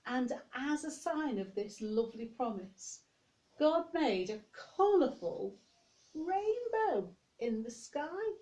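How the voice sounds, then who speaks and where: A woman's voice: high voice, very animated, slow, warm tone, poor audio. A woman reading a picture-book story to young children, expressively, with chatty asides spoken straight to the listeners.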